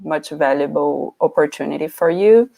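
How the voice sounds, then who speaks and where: A woman hosting an interview over a video call, asking questions in a halting way with many fillers and restarts. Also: low-pitched, creaky, dark tone. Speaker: host.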